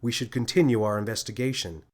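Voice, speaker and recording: A man voicing a gentle, kind female feathered alien officer who speaks softly and politely. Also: medium pitch, fast talker, natural voice.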